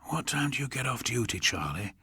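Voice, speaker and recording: A man's voice: mid-range voice, very animated, fairly quick, pushed and bright, slightly rough, no room sound, full character voice. An older British man voicing a terse, uneasy police detective in an audiobook, clipped and serious. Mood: quiet, firm.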